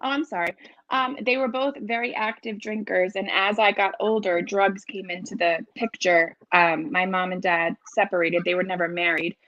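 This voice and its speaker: A woman's voice: medium pitch, steady tone, moderate pace, fairly bright tone, highs cut off. A middle-aged woman with a New England accent speaking frankly and emphatically as a guest in a candid conversational interview. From New England, United States. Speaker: interview guest, author in recovery.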